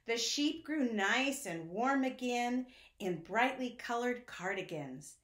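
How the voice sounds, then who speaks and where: A woman reads a children's story in a lively, swooping, sing-song way. She takes her time and speaks directly to young listeners, in an echoey room. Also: a little high, takes it slowly, a little hiss or hum. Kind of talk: children's picture-book read-aloud. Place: indoor room at home.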